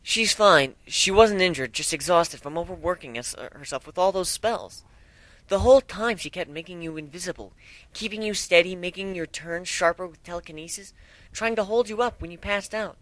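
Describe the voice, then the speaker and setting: A man's voice: sits high, normal speed, a bit bright, dry recording, pitched above the performer's own voice, rough recording. A man voicing a studious, earnest young female pony magician who explains and lectures, in a cartoon fan story. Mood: earnest explaining.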